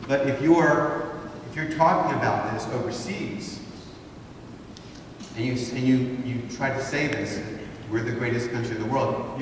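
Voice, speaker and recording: A man in his fifties, originally from Honduras, giving a lecture to students in a large hall and posing rhetorical questions to the audience. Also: mid-range voice. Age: 50s. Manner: rhetorical, asks the audience to think. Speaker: guest speaker. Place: large wood-paneled hall, indoors. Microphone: far camera, no mic seen. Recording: echoey hall from far off, noisy.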